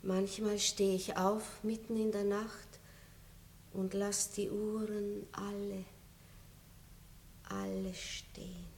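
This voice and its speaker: An actress playing a gracious, aging aristocratic lady in a 1960s radio play, speaking softly with a tinge. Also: mid-range voice, little pitch movement, slight background noise. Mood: melancholy. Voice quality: soft, measured, melancholy. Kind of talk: 1960s radio drama acting.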